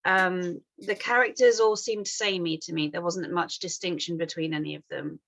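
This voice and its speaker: A woman's voice: on the low side, fairly even intonation, moderate pace, vocal fry, a bit bright, low-quality recording. A woman giving informal feedback as a script panel reader, hesitant, rambling and apologetic. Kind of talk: informal spoken critique.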